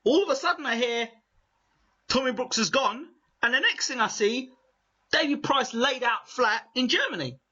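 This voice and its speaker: A man with a strong British accent interviewing a guest, building his questions in long excited runs. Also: extremely high pitch, moderate pace. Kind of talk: animated interview chat. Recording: muffled lo-fi audio.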